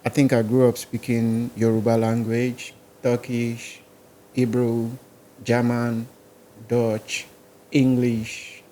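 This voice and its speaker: A man's voice: medium pitch, completely flat delivery, slow, warm tone, slight background noise. A man with a Nigerian accent, a musician guest in a podcast interview, talking in a rambling, casual way. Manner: rambling, casual, listing places and languages.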